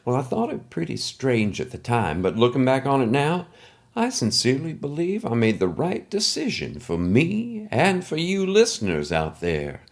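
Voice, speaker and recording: Guy doing a folksy showman podcast host, gravelly, really animated swoopy delivery, chummy n wry. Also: mid-range voice, normal speed. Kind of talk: scripted comedic podcast narration.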